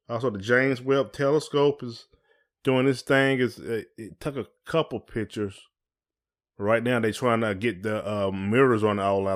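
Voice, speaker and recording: A man with an American accent hosting a podcast in casual, colloquial conversation. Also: lowish voice. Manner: colloquial, often checks in with "you know". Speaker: podcast host.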